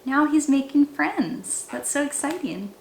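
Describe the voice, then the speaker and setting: A woman teacher reading a picture book aloud in an expressive, animated way to young listeners. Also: high-pitched, a little slow, creaky, slight background noise. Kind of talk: expressive children's read-aloud. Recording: roomy, echoey sound.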